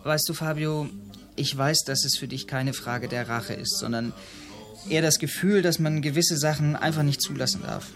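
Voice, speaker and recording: A man's voice: fairly high pitch, normal speed, a bit bright, faint music behind. A man playing an earnest, protective friend in dialogue in a German radio drama. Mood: earnest, urging. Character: earnest, protective male friend offering help.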